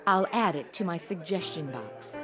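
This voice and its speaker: A woman's voice: lowish voice, animated, moderate pace, a bit bright, faint music behind. An actress playing a flippant, self-absorbed modern young woman in a comedy audio drama, breezy, sassy and slangy. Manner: sassy, breezy, slangy, valley-girl phrasing.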